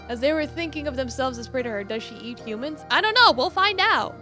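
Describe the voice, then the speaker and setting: A woman streaming a story game, with a fairly high, bright voice. She reads theatrically and slips into casual asides, with faint music behind her. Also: animated, a little fast. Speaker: live streamer. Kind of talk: dramatic read-aloud of visual novel with casual asides.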